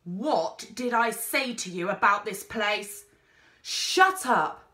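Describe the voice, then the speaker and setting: A woman voicing a gruff, commanding explorer man in a storybook, terse and authoritative. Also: mid-range voice, animated, takes it slowly, pushed and bright. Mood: angry.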